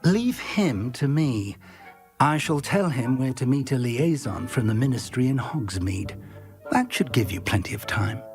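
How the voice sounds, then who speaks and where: A scholarly professor in a fantasy game. He is wry and kindly, formal and thoughtful, with lively intonation in a mid-range voice. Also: mid-range voice, unhurried, soft background music. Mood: dry, assured. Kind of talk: video game cutscene acting.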